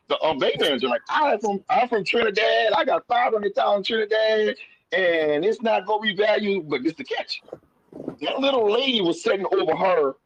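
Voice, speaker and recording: A man telling a personal story casually as a guest, animated and folksy, repeating phrases and acting out conversations. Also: fairly high pitch, breathy edge, no room sound, highs cut off.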